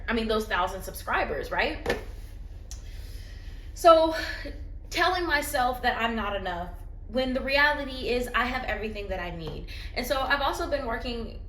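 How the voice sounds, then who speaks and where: A woman records a heartfelt, reflective vlog on her phone at home. Her voice is bright and clear. The room is roomy and echoey, with a faint hum in the background. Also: on the high side. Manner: earnest and reflective. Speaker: vlogger. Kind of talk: candid personal vlog talk.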